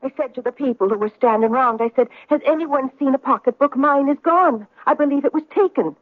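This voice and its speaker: An actress playing a feisty, evasive woman in old-time radio drama style, with a lilting, indignant, drawn-out storytelling manner. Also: high-pitched, no room sound, close to an everyday voice. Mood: brisk, indignant. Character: feisty, evasive Irish-American woman reporting a theft. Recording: dry vintage radio recording, muffled.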